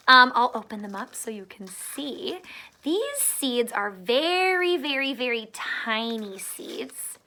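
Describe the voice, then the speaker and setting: A woman's voice: fairly high pitch, bright, forceful tone. A woman teacher giving children an upbeat read-aloud and gardening demonstration in simple terms.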